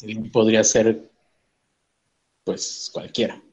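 A man's voice: on the low side, completely flat delivery, slow. A man, chatting casually, asking questions and joking lightly. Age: 30s. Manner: conversational, asks questions, jokes lightly. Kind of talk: casual chat.

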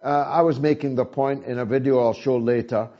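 A man from Britain hosting an interview who talks deliberately and asks rhetorical questions. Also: medium pitch, fairly even intonation. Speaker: interviewer, host. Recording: dull lo-fi sound.

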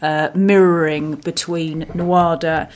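A woman's voice: low-pitched, level tone, slow pace, a little hiss or hum. A woman co-hosting a film discussion, casual yet analytical, putting her points in a considered, articulate way. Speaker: podcast co-host discussing films. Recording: music clearly audible under voice. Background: music.

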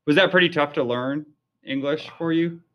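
Curious male interviewer, slightly high voice. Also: normal speed. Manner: friendly, curious, many fillers. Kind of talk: casual interview. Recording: dull lo-fi recording.

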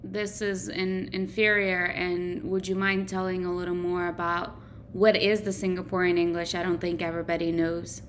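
A woman's voice: fairly low pitch, flat delivery, moderate pace, slight background noise. A woman hosting a casual interview, asking curious, conversational questions. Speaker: interviewer, host.

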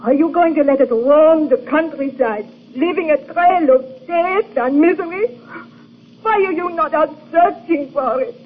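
An actress playing a grieving young fiancée in a vintage radio drama, accusing him with passion and tears. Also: female voice, high-pitched, a little slow, a little hiss or hum. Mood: tearful. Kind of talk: 1940s radio acting. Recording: muffled old radio recording.

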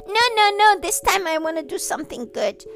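A woman voicing an eager little boy who turns into a bunny, stammering and pleading excitedly. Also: very high voice, brisk, faint music behind.

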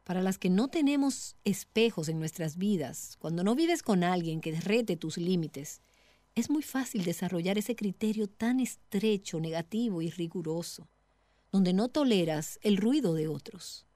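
A middle-aged woman giving a devotional teaching talk as a Bible teacher, speaking in a measured, earnest way directly to the listener. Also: on the low side, expressive, dark tone, dry recording.

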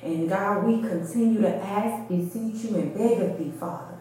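A woman leads prayer for a congregation in a mellow voice. She speaks in short, fervent phrases full of repeated invocations. The room around her rings with heavy echo. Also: mid-range voice, moderate pace, slight background noise. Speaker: church member leading prayer. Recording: very echoey reverberant room.

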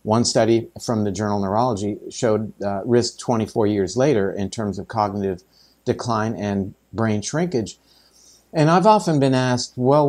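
A male podcast host leads the interview in a conversational way, often pausing on fillers. His voice is mellow and warm, mid-pitched. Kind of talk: interview questions.

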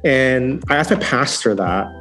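A man's voice: medium pitch, expressive, unhurried, fairly bright tone, faint music behind. A young adult man telling his life story in a casual, earnest way, acting out what he and others were thinking. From born in San Francisco, raised partly in Hong Kong. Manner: earnest storytelling with acted-out inner quotes. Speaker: guest, former software engineer turned digital missionary. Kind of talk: casual personal testimony.

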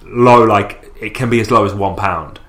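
A young man co-hosting a podcast, thinking aloud with many restarts and pauses. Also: lowish voice. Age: young adult. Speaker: podcast co-host. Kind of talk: casual chat.

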